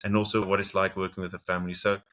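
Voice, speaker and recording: A man's voice: sits low, flat delivery, racing, a bit bright. A man with a South African accent hosting an interview and encouraging his guest, with small restarts. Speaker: podcast host.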